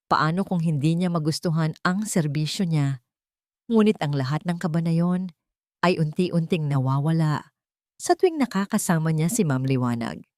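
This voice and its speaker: A Filipino woman with a fairly low voice reads a dramatic story in a measured, sentimental style. The sound is dry and close, with little room around it. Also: darkish tone, no echo. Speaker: narrator of a romance story channel. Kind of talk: dramatic scripted audio story narration in Tagalog.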